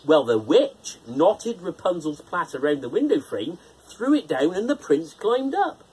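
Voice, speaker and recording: A storyteller narrating a children's fairytale in an expressive, theatrical manner. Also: mid-range voice, measured pace, husky, rough recording.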